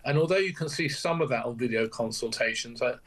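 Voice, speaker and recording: A man's voice: medium pitch, fast talker, a bit bright, low-quality recording. An older British man speaking as a doctor in a broadcast interview, candid and emphatic in a conversational way.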